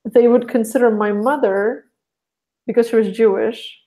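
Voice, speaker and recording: A woman's voice: on the high side, steady tone, normal speed, mellow. A young woman from Russia, a podcast guest talking casually and rambling with many restarts.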